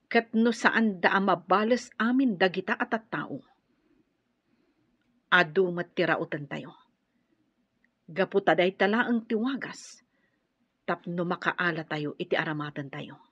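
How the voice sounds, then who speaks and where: A woman's voice: on the low side, animated, unhurried, pauses often, a bit bright, breathy edge, exaggerated voice. A woman playing a grim older rebel comrade who earnestly admonishes a younger woman in an Ilocano radio drama. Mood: grim resolve. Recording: dull, roomy, lo-fi radio recording.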